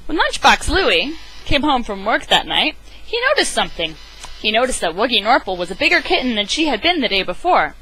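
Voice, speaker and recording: A woman reads a children's picture book aloud in a dramatic, emphatic way. Her voice is on the high side and bright, with very animated intonation. She sounds like an enthusiastic amateur storyteller. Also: fairly quick, a little hiss or hum, low-quality recording. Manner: theatrical, emphatic delivery. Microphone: webcam microphone.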